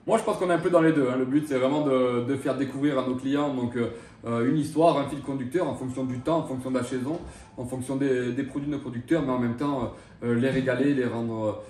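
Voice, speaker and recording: A man's voice: mid-range voice, fairly even intonation, a little fast, a little mellow, slight background noise. A man in his thirties, a chef, answering interview questions with lots of hesitation and restarts. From France. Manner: hesitant, many fillers and restarts. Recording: roomy echo, mic at a distance.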